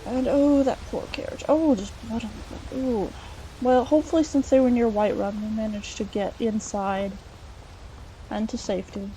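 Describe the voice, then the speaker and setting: A woman's voice: on the high side, fairly even intonation, moderate pace, dark tone, a little hiss or hum, close to an everyday voice, poor audio. A woman playing an earnest, polite, inquisitive scholar adventurer in a video game, chatting and musing aloud with some hesitation. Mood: chatty. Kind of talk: improvised in-character let's play monologue.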